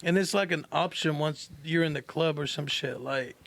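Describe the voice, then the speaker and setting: A man's voice: fairly high pitch, moderate pace, creaky, a bit bright. A man chatting on a podcast, explaining things in casual, sweary slang. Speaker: podcast co-host.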